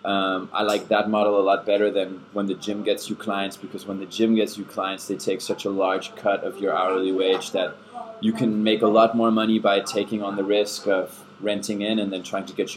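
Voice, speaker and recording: A man in his twenties whose first language is German, answering interview questions in a casual, measured way and often repeating words while he thinks. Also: on the low side, noisy background. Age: 20s. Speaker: podcast guest, fitness coach. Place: busy bar. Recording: bar crowd chatter behind.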